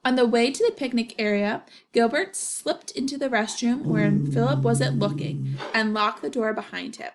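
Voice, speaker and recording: Female children's storyteller, slightly high pitch with noticeable fry, narration with friendly asides to listeners. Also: moderate pace, noisy background. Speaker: storyteller reading a children's picture book aloud. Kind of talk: animated read-aloud narration with asides.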